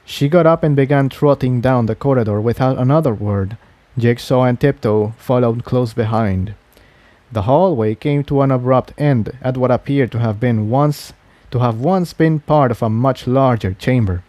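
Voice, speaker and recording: Male storybook narrator: mid-pitched, dark mellow tone, dry close recording. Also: no room sound. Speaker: audiobook-style reader of fan fiction.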